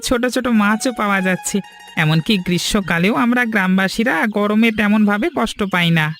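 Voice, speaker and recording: Cartoon village farmer male actor, high voice, humble and earnest, faint music behind. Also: brisk, a bit bright. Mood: content, earnest. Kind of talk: Bengali fairy-tale cartoon dialogue.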